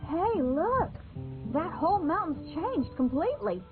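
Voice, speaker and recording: A woman playing an earnest, friendly young companion in a video game, with an expressive delivery. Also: very high-pitched, unhurried, exaggerated voice. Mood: excited. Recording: dull lo-fi game capture with music.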